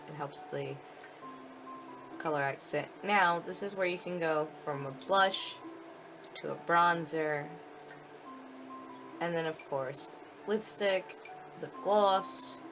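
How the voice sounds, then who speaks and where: A woman giving a chatty, informal live walkthrough commentary of a video game, explaining as she goes. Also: low voice. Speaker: video game demo presenter.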